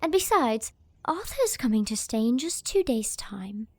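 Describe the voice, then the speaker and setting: Actress as a bold, breezy young woman, bossy, high and very lively voice. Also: unhurried, no room sound, full character voice. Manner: breezy, commanding, dismissive. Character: lively, strong-willed young woman, dreamy sleepwalker. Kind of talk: audio drama acting.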